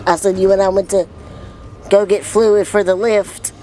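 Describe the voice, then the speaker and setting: A woman's voice: medium pitch, flat delivery, normal speed, dark tone, faint music behind. A woman in her twenties hosting a casual vlog, speaking in an informal, slangy, exclamatory way. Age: 20s. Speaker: vlog host.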